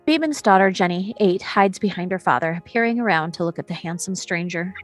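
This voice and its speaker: A woman's voice: fairly low pitch, a little fast, no room sound, faint music behind. A woman narrator reading screenplay action and scene descriptions at a table read. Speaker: narrator reading stage directions.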